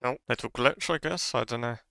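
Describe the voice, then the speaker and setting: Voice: mid-range voice, brisk, gravelly, sharp tone, a little hiss or hum. A streamer chatting casually while reacting to a video game story.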